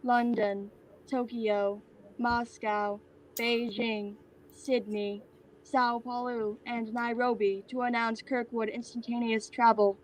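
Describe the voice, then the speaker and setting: A woman's voice: fairly high pitch, flat delivery, slow pace. A woman playing an obedient assistant in a comic play reading, reciting formally like an official record. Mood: dutiful reciting. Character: Soren, an obedient assistant reciting an employer's biography. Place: remote virtual reading. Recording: noisy online video call audio.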